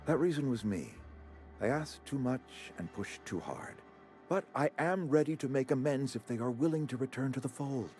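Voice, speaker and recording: An actor playing a pompous, genteel company-town administrator in a video game, speaking in formal, florid, emphatic phrases. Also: male voice, mid-range voice, expressive, normal speed, a little mellow, faint music behind, lightly acted. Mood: earnest persuasion. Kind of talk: video game character acting.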